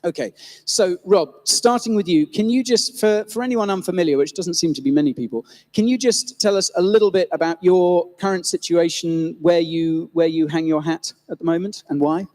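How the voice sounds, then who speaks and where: A man moderates a live panel before an audience, his voice riding high. It rings with the long reverb of a large venue. He introduces guests with loose, self-correcting phrasing. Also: normal speed, darkish tone. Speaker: host and moderator.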